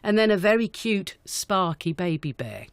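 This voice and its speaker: A woman's voice: mid-range voice, expressive, normal speed, clean tone, no echo. Woman chatting in a dry close recording. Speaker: guest, amateur pantomime director. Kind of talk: unscripted radio interview answers.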